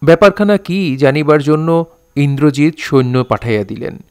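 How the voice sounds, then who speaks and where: A man's voice: a little high, expressive, normal speed, clean tone, no room sound, studio quality. A man narrating an audio drama in a formal, literary storytelling manner.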